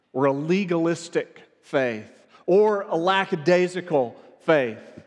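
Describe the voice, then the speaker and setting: A man's voice: fairly high pitch, big-room sound. A man from the American South preaching a reflective sermon as pastor, earnest and building in emphasis.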